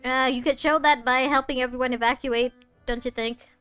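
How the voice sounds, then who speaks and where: A woman's voice: sits high, steady tone, fairly quick, a bit bright. A woman giving casual commentary as she plays a video game, with wry, playful asides. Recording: game music behind the voice.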